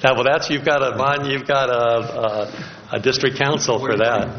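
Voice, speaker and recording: A man speaking as a consultant at a public meeting, giving informal answers in a halting way and often repeating his words. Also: mid-range voice, steady tone. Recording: muffled, noisy meeting room recording.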